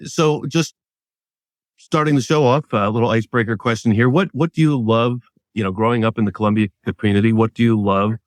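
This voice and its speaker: A man's voice: mid-range voice, a little fast, no echo, clean studio sound. A man co-hosting a talk show, chatting casually and laughing easily. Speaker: co-host.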